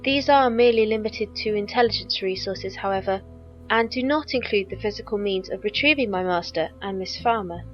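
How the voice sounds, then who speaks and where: An actress playing a loyal, composed squire in an audio drama, speaking formally and precisely. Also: female voice, medium pitch, fairly quick, highs cut off, faint music behind, lightly acted. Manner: formal, precise, stilted wording.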